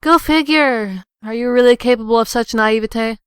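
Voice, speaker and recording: Woman acting a young female punk, smooth clear voice, dry sound, sarcastic, defiant, comic-dub drama. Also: fairly high pitch, normal speed, no echo, lightly acted. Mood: sarcastic, scornful. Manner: sarcastic, biting.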